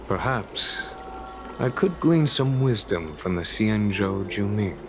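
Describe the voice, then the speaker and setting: An actor playing a calm, wise general in a video game, with measured, formal, unhurried delivery. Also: male voice, on the low side. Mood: relaxed, courteous. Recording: music under the voice.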